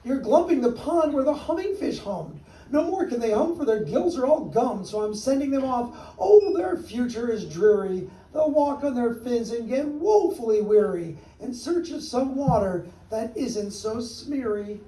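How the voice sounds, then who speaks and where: A man's voice: very high voice, expressive, unhurried, few pauses, mellow, voice pushed up. A man voicing a small, grumpy male forest creature who scolds with a cough in a storybook reading. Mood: scolding. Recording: roomy, distant from the mic.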